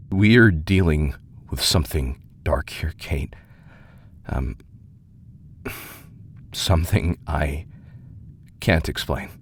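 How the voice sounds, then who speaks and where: An actor playing a grave, uneasy investigator reading out his letter in an audio drama, halting and ominous, hushed at times. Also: extremely low pitch, a little hiss or hum. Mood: fearful, hushed.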